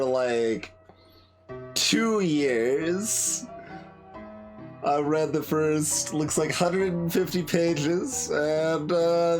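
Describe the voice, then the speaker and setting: A man giving casual, musing commentary as he plays a video game, often hesitating and trailing off. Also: a little high. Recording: music behind the voice. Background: game music.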